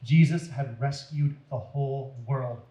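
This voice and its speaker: Slow, echoey man reading to children. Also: medium pitch, fairly even intonation, warm tone. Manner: deliberate, dramatic, addressing young listeners. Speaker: children's storyteller at a church. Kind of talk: expressive read-aloud to children. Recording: roomy echo.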